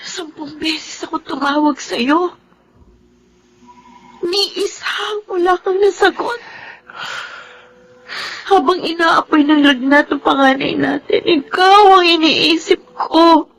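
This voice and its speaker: High-voiced, tearful Filipino young wife. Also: female voice, takes it slowly, gravelly, long pauses, a bit bright, exaggerated voice, poor audio. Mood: tearful reproach. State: crying. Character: anguished, resentful pregnant young wife of an absent soldier. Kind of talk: Filipino radio drama acting.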